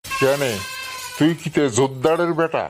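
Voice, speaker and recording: A man's voice: a little high, measured pace, over music, lightly acted. A man voicing a pleading, exasperated village father in a comedy cartoon, with a North Bengal accent. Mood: scolding. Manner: coaxing, exasperated.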